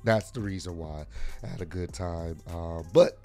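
A man in his thirties with a hoarse, worn-out voice, casually reviewing a wrestling event from a desk microphone at home. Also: low voice, normal speed, warm tone, faint music behind. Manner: casual, rambling with frequent fillers. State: hoarse, lost voice. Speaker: wrestling vlogger. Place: home room with lit shoe shelves. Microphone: desk mic on arm.

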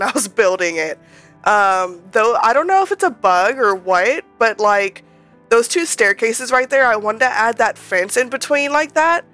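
A woman's voice: fairly high pitch, bright, forceful tone. Chatty woman, slightly nasal from a cold. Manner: chatty and rambling, many ums and restarts. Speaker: Sims speed-build creator. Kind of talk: casual voice-over commentary.

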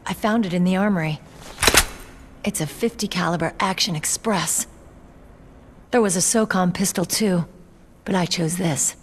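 A woman's voice: on the low side, normal speed, long pauses, slight background noise, natural voice. A woman acting an earnest young female soldier. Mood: brisk, confident. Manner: earnest, determined, plain-spoken. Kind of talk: dramatic video game cutscene acting. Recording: background music under studio voice.

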